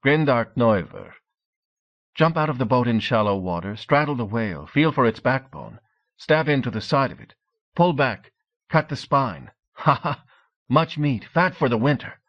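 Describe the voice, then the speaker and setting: A man's voice: medium pitch, moderate pace, highs cut off, voice pushed up, natural voice. A man voicing a cheerful, eager young Norse man with a thick accent. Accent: Norse-accented English. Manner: excited, eager.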